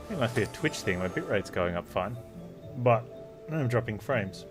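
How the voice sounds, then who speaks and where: A man giving casual live stream commentary on a game in a sarcastic, joking way. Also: medium pitch. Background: game music.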